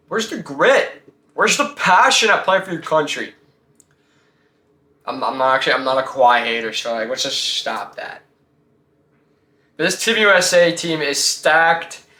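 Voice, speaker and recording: A man's voice: fairly high pitch, sharp tone, echoey room. A man hosting a casual sports talk show, giving blunt, slangy opinions and firing off rhetorical questions.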